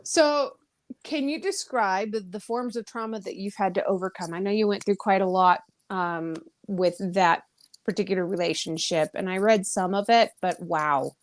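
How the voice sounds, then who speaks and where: A woman with a mid-pitched voice hosts a podcast interview. She talks in a casual, chatty style. Her manner is effusive, with plenty of exclamation. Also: moderate pace.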